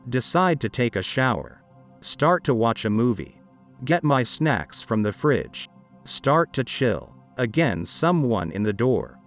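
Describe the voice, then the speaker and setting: A man reading a scripted horror story as narrator, over dark background music. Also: mid-range voice. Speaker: narrator of creepy internet stories. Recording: dark ambient music behind.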